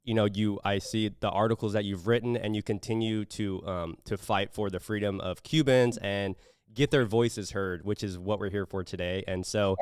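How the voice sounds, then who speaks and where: A man hosting a podcast, talking in a relaxed, rambling way with many fillers and restarts. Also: on the low side, normal speed, clean tone, no room sound, clean studio sound. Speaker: podcast host. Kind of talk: casual podcast interview.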